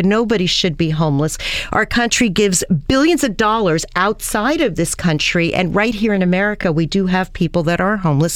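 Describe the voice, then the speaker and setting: A woman's voice: medium pitch, clear, resonant tone, dry recording. Woman radio host, middle-aged, rambling and enthusiastic, close dry studio mic. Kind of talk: talk radio interview.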